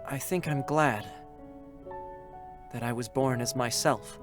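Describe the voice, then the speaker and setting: An actor playing a gentle, wistful young male android soldier, halting and heartfelt in a dramatic monologue. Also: medium pitch, natural voice. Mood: wistful, resigned. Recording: music loud behind voice. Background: music.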